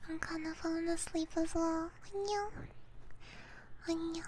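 A woman streaming ASMR, chatting to her viewers in a soft, gentle, unhurried whisper, close to a binaural microphone. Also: very high-pitched, steady tone, slight background noise.

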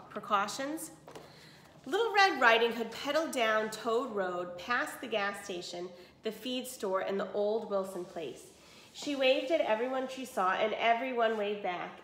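A woman's voice: medium pitch, a bit bright. A woman in her forties reading a children's story expressively as a teacher, with explanatory asides to pupils. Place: small room indoors. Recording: roomy echo of a small room.

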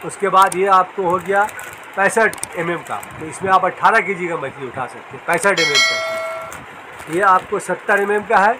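High-voiced man with street noise. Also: normal speed. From India. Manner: repetitive, explains sizes and strengths plainly. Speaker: fishing tackle shop owner. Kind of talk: casual product demonstration.

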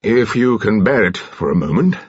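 A man voicing an awkward, blustering naval commander in an audiobook, clipped and halting. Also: on the low side, big pitch swings, husky, no room sound, lo-fi sound. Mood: bashful.

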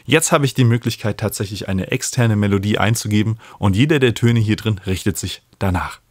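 Low-voiced man explaining software. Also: brisk, no echo, studio quality. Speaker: music production instructor. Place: small home studio, indoors. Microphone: studio microphone up close.

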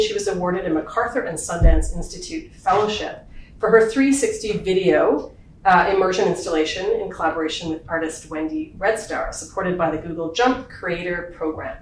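A woman's voice: fairly low pitch, a little hiss or hum. A woman acting as host, introducing a guest in a university lecture hall and reading out her bio, with many fillers. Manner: warm, halting with many fillers. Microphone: far room recording from the back. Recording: echoey lecture hall from far off.

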